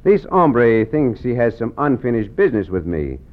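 A man playing a suave, courtly caballero hero from an old radio play, speaking. His mid-pitched voice rises and falls with lively intonation. The old broadcast sounds dull and lo-fi. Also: normal speed, close to an everyday voice. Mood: commanding. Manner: courteous, suave, sprinkled with Spanish words. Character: gallant Mexican male caballero hero, courtly. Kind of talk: 1950s radio drama acting.

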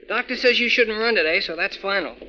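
Sharp, high-voiced teenage lad. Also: male voice, quick pace, poor audio. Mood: earnest. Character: earnest, excitable teenage boy team manager. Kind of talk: 1940s radio serial acting.

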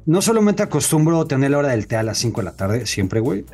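A man's voice: medium pitch, very animated, quick pace, darkish tone, faint music behind. A young Mexican man co-hosting a sports podcast, talking in a slangy, profane, opinionated way. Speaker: podcast co-host. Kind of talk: casual sports chat.

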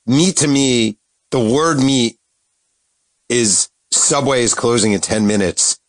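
A man's voice: mid-range voice, slow pace, dry recording, low-quality recording. A man hosting an interview, riffing playfully and joking with his guest. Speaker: podcast host. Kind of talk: casual interview chat.

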